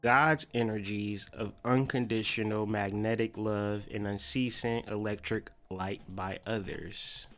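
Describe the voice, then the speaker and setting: A low-pitched American man hosts a podcast in an informal, slangy way. He muses in a conversational stop-and-start monologue, with faint music under his voice. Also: fairly even intonation, unhurried, few pauses, fairly bright tone. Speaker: podcast host. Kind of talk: loose conversational monologue on myth and spirituality.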